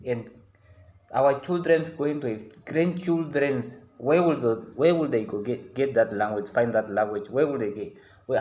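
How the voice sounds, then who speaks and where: A man's voice: medium pitch, darkish tone. A young man makes an earnest appeal in English, his after a San tongue. His voice sits in an ordinary middle register. He pleads and repeats himself, restarting sentences as he pushes his case. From Namibia. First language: a San language. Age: young adult. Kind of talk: impassioned public appeal.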